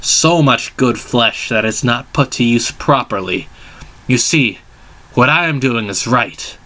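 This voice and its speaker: A smug villain mastermind from a storybook, put on by a male reader. He speechifies with pompous self-righteousness in a bright, forceful voice. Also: medium pitch, a little slow. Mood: smug, self-righteous.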